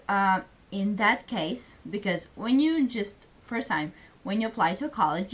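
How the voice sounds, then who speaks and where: A woman's voice: medium pitch, fairly bright tone. Chatty young woman from Serbia. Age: young adult. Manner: chatty, many fillers, speaks English as a second language. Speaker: student vlogger. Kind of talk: casual vlog chat.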